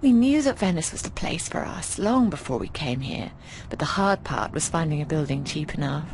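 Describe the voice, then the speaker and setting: Lively, bawdy British landlady, lowish voice. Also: moderate pace, noisy background. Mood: musing, proud. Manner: affectionate, frank, cheeky, often calls people darling. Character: warm, bawdy middle-aged British landlady of a boarding house. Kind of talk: video game voice acting.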